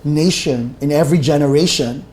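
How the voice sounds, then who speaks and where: A man's voice: a little high, moderate pace, darkish tone. A man, speaking as a panel guest in a reflective way and repeating key phrases. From Bahamas. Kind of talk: discussion panel.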